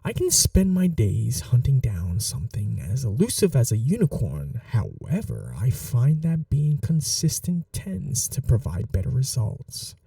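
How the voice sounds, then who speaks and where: Dark, velvety scheming prince, acted by a man. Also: medium pitch, wildly swooping pitch, creaky, no echo, distant mic, exaggerated voice. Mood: coldly justifying. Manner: soft-spoken, deliberate, formal, coldly menacing tenderness. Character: scheming evil young prince, possessive devoted lover. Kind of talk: ASMR romantic fantasy roleplay monologue.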